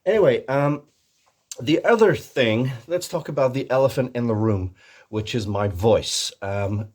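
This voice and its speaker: A man's voice: mid-range voice, measured pace, a little mellow. A man chatting casually as a video host in a put-on American accent, rambling and joking at his own expense. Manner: rambling, jokey, self-deprecating. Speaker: host of a Doctor Who fan video channel. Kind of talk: casual comic vlog chat.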